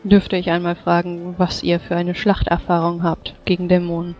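A woman playing a composed war veteran in a tabletop roleplay, probing with polite, formal, measured speech. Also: on the low side, completely flat delivery, lightly acted. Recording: dull, muffled voice-chat audio. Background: background music.

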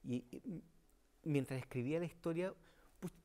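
A man's voice: fairly high pitch, normal speed, mellow. A middle-aged man giving spontaneous interview answers as a writer, searching for words as he goes. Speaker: audio fiction writer. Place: indoor interview set. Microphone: lapel.

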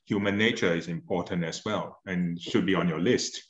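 A man's voice: low voice, steady tone, normal speed, echoey room, highs cut off. A man asking a question on a video call, hesitant and repeating words as he searches for terms. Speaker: participant.

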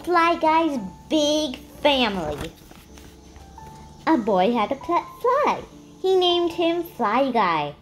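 A child reading a picture book aloud in a deliberate, simple storytelling manner for young listeners. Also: high-pitched, big pitch swings, a bit bright, soft background music. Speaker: read-aloud host.

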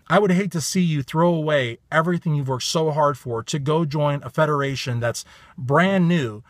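A man in his thirties with a raspy voice, giving a blunt, emphatic bodybuilding opinion vlog from a parked car on a phone held at arm's length. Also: mid-range voice, fairly bright tone, no room sound, studio quality. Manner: blunt, emphatic, repeats stock phrases. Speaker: bodybuilding commentator.

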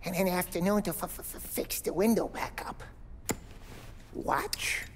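An actor playing a good-natured car mechanic with a strong stutter, in a cinematic game scene. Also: male voice, sits high, unhurried. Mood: eager, halting. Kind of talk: cinematic video game acting. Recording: clear background noise and clicks.

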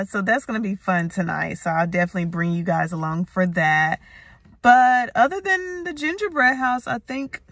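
Bright-voiced American woman vlogging casually. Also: mid-range voice, dry recording. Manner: informal, addresses viewers as y'all. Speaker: lifestyle vlogger, host. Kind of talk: casual vlog chat to viewers. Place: parked car and home kitchen.

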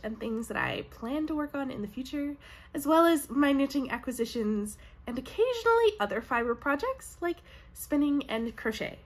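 A young Canadian woman hosting a knitting vlog from home, chatty and upbeat, sounding nasal and sniffly from a cold. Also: high-pitched. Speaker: knitting podcast host. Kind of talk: casual chat to camera. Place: home room with bookshelves, indoors. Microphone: camera in front at desk distance.

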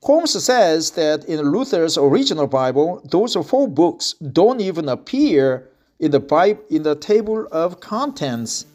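Slow-reading Korean-accented man. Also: fairly high pitch, very animated, darkish tone. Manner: reads slowly, repeats phrases, breaks off to comment. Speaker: reader practicing English. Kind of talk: reading aloud with casual commentary.

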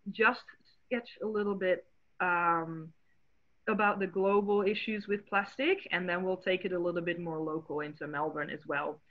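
Dutch woman scientist presenting over a video call, mid pitch, tinny phone-like sound. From Netherlands. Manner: friendly, explanatory, many "uh" fillers. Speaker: marine biologist and educator.

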